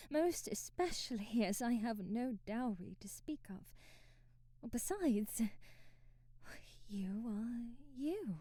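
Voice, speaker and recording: Woman acting as devoted young housemaid, flustered and earnest, mellow highish voice, close dry ASMR, breathy half-whisper. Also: dry recording. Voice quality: soft, breathy, often dropping to a whisper. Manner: hesitant, earnest, genteel old-fashioned phrasing. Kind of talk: ASMR romantic audio roleplay, period drama. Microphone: close mic, dry.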